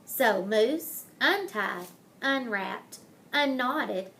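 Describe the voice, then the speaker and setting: A woman reads a children's story in a high, very lively voice. She takes her time, delivering each phrase dramatically and lingering over rhymes and playful words. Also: vocal fry, a little hiss or hum. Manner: dramatic, deliberate, savors rhymes and wordplay. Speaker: storybook reader. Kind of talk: expressive children's picture-book read-aloud.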